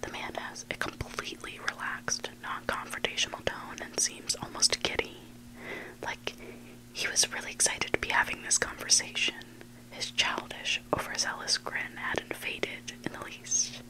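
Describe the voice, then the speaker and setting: A person reading stories for ASMR in a breathy, unvoiced whisper, hushed and deliberate, in short phrases. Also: low-quality recording. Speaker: ASMR reader of online stories.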